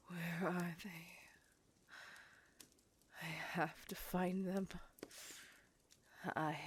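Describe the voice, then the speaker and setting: A woman voicing an intense, possessive, centuries-old female vampire in a dramatic, breathy ASMR roleplay, often dropping into a whisper. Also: fairly low pitch, a little hiss or hum. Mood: vengeful, intense.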